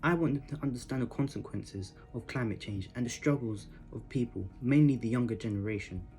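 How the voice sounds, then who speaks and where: A man's voice: medium pitch, a little fast, darkish tone, soft background music. A young man narrating a scripted piece as a member of a youth theatre company. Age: young adult. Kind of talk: scripted narration to promote a project.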